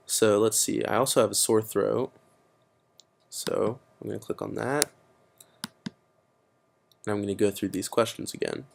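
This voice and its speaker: A man's voice: fairly low pitch, vocal fry, slight background noise. A teenage boy presenting a casual computer tutorial, explaining steps with frequent fillers. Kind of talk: screen-recorded computer tutorial.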